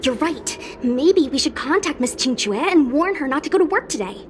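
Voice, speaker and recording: A young female ghost hunter from a video game. Her voice is very high and swings widely as she whines and wheedles with playful laziness. Music plays behind her. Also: normal speed. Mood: concerned. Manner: animated, playfully lazy. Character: sleepy, whiny young female ghost hunter, lively and easygoing. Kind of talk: video game cutscene voice acting.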